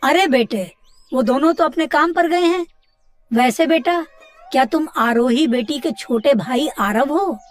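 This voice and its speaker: A caring village uncle from a cartoon story, acted by a woman talks in a fairly high voice. He advises like a father and moralises gently, over soft background music. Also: measured pace. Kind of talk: dramatised cartoon story dialogue.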